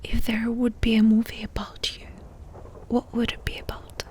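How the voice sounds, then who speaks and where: Whispering woman, soft ASMR questioner. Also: on the high side, completely flat delivery. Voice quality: breathy unvoiced whisper. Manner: soft, intimate questioning with pauses. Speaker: ASMR creator asking personal questions. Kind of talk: whispered ASMR personal-attention roleplay.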